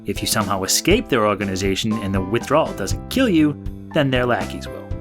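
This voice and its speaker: A man playing a gruff, guarded, hot-tempered young fugitive in an audio drama, blunt and profane. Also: fairly low pitch, expressive, fairly quick, over music. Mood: grim warning.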